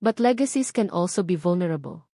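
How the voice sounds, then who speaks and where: A woman with a Filipino accent voicing a flattering, quietly sinister male theatre director in an audio drama. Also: fairly low pitch, fairly quick, no room sound, studio quality. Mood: musing.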